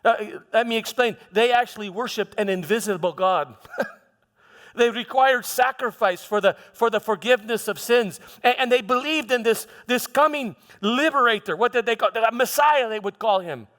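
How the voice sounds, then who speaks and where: A man playing a proud, exasperated Roman governor in a theatrical first-person stage monologue, halting and repeating words for emphasis as he tells his story. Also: extremely high pitch, a bit bright. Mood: bemused, explaining. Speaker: church dramatist performing a monologue. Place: church hall before an audience. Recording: echoey church hall, music behind.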